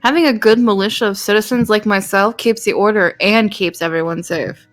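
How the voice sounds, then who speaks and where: A woman's voice: mid-range voice, brisk, a bit bright, soft background music, close to an everyday voice. A woman voicing a friendly, casual female security militia guard in a visual novel. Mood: friendly, confident.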